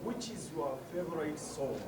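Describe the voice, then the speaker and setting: A man in an audience asks informal questions in a halting, hesitant way. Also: on the high side, animated. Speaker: audience member asking questions. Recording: distant event microphone, crowd behind.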